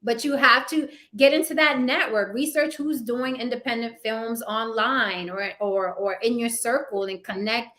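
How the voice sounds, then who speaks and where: A woman's voice: a little high, fairly even intonation, normal speed, few pauses, pushed and bright. A woman actress being interviewed, talking casually and animatedly, often repeating words for emphasis and asking "right?". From Puerto Rican heritage. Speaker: actress and YouTuber guest.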